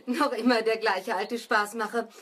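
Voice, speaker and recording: Welcoming mother, fairly high voice. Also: wildly swooping pitch, unhurried, a bit bright, a little hiss or hum, exaggerated voice. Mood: surprised, amused. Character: welcoming mother greeting her long-absent cousin. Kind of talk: 1970s German children's radio play acting. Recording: 1970s radio play, birdsong behind.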